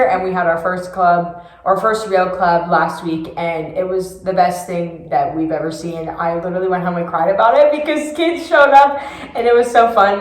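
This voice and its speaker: A young woman, a youth ministry volunteer leader, answering interview questions in an earnest, enthusiastic way. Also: lowish voice. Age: young adult. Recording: echoey room.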